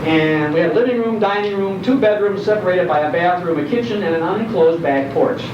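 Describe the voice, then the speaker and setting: An older man with a Chicago accent gives an informal, folksy talk to an audience, reminiscing in descriptive detail with emphatic pauses. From Chicago area, United States. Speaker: guest speaker, nostalgia storyteller. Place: indoor program venue, recorded from a distance. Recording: echoey room, distant mic, dull, noisy rumble.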